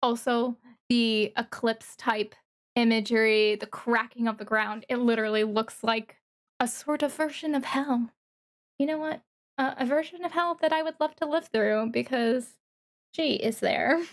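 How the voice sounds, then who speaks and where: A woman giving casual, excited reaction commentary as a streamer, thinking aloud haltingly with interjections. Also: on the high side. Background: music from the watched video.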